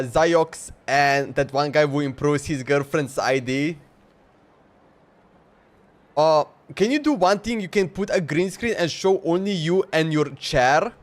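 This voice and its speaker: A man live-streaming a video game, reacting casually and chatting, often repeating himself. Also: on the high side. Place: indoors at a streaming setup.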